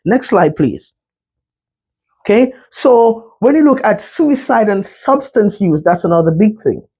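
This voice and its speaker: A Jamaican-accented man speaking slowly and earnestly through a slide talk. His voice is mellow and a little husky, and he keeps checking in with his listeners. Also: sits high, animated. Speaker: mental health speaker.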